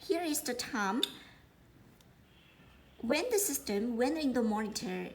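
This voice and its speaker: A woman giving an unscripted product demonstration, speaking haltingly and often repeating words as she explains. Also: mid-range voice, a little mellow, slight background noise. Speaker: product presenter.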